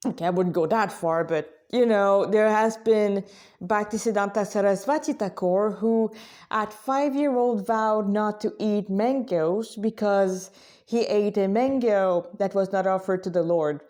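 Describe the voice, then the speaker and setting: Mellow-voiced woman in halting informal talk. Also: mid-range voice. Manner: halting, conversational, self-correcting. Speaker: religious vlogger, Krishna devotee. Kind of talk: informal spiritual talk to listeners.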